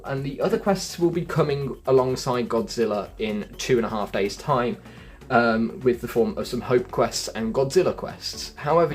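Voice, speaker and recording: A man hosting a gaming channel, talking viewers through a gameplay walkthrough in a chatty way and calling them folks and guys. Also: medium pitch.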